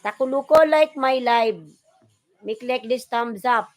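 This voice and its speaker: A woman with a Filipino accent and Tagalog as her first language, hosting a casual livestream and repeatedly calling out names and shout-outs to viewers. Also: on the high side, unhurried. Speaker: livestream host. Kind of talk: casual live-stream chat, greeting viewers and reading comments.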